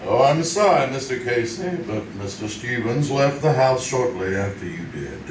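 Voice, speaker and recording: An actor playing a formal, courteous butler in an old-time radio drama, with a measured manner. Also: male voice, medium pitch, animated, a little hiss or hum, natural voice. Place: community theater stage. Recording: roomy, distant live stage recording.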